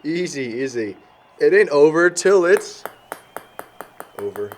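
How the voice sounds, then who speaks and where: A young man playing a gruff, sarcastic guy in an audio drama. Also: fairly high pitch, sing-song, slow, a little hiss or hum. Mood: competitive.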